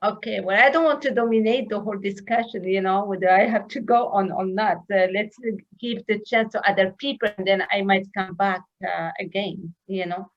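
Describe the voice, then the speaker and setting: A woman's voice: mid-range voice, steady tone, moderate pace, few pauses, highs cut off. A woman from Morocco speaking earnestly on a video call, often filling with "you know". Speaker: panelist. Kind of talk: casual video call discussion.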